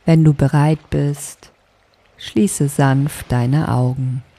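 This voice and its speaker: Very slow, low, creaky female meditation guide. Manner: soft, calming, deliberate instruction. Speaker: yoga nidra teacher.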